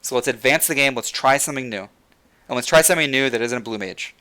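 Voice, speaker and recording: A young man talks to his livestream chat, building his points with repeated words and restarts. His voice is bright and tense. He is audibly losing his voice as he goes. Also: medium pitch, quick pace. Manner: passionate, repeats words while thinking. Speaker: livestream host. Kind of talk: casual gaming rant to chat.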